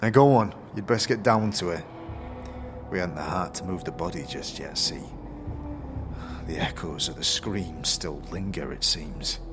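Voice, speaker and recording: An actor playing a rough, grim villager in a fantasy audio drama, speaking in an ominous rustic way. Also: male voice, deep voice, unhurried, over music. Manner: rustic, ominous dialect phrasing.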